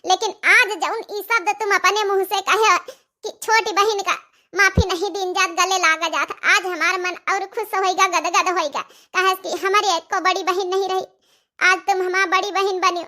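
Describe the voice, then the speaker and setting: A woman voices a young rural mother from an Awadhi cartoon comedy. The voice is childlike in its very high pitch and piercingly bright. She pleads effusively, repeating herself in melodramatic, repentant bursts. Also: fairly even intonation, normal speed. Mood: tearful gratitude.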